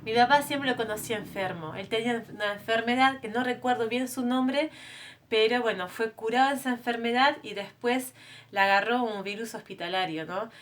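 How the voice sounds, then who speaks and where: A woman's voice: fairly high pitch, normal speed. A French-born woman in her thirties shares her personal testimony as a missionary. She speaks to a group of women in an earnest, conversational tone. Her speech is full of small hesitations and self-corrections. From France. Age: 30s.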